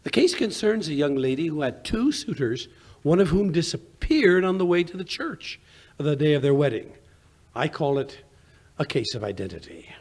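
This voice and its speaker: A man playing a genial, excitable gentleman sidekick with hesitant, old-fashioned speech in a 1940s radio drama style. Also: on the high side, moderate pace. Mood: genial, reminiscing.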